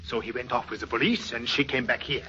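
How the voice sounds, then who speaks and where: An actor with a German accent playing a proud, pompous gentleman crook in old radio drama, speaking formal, stilted English. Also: medium pitch, fairly even intonation, quick pace, close to an everyday voice. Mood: conciliatory. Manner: formal, stilted foreign phrasing. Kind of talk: 1950s radio drama acting. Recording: muffled, noisy old radio recording.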